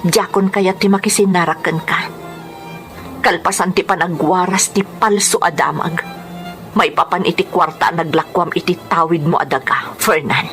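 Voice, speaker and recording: A woman's voice: on the low side, steady tone, moderate pace, fairly bright tone, lightly acted. A woman acting a hurt, dutiful daughter in an Ilocano radio drama, earnest and pleading, with an Ilocano accent. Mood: reproachful. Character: hurt, dutiful adult daughter defending her honour. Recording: music under the voice.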